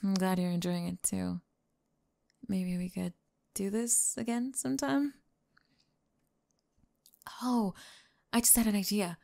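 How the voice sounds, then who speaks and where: Woman acting as sweetly smitten young female pop star, slow pace, slightly creaky, breathy, hesitant, ASMR romance roleplay. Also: mid-range voice, fairly even intonation. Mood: friendly, relaxed. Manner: soft-spoken, breathy, giggly and hesitant.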